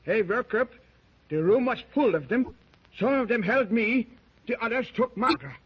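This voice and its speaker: An actor playing a rough-talking, loyal sidekick with folksy, ungrammatical speech, in a 1930s radio drama. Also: male voice, very high voice, normal speed. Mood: worried. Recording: dull lo-fi 1930s radio transcription.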